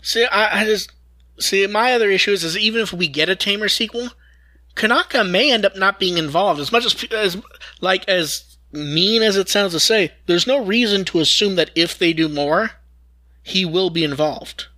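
Male podcast host, high bright voice, dry close sound. Casual fan chat, halting delivery with repeated phrase starts. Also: dry recording.

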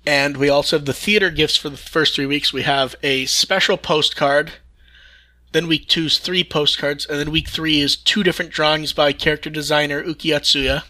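Bright-voiced man hosting a podcast. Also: a little high, steady tone, moderate pace. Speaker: podcast host. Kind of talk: casual podcast news discussion.

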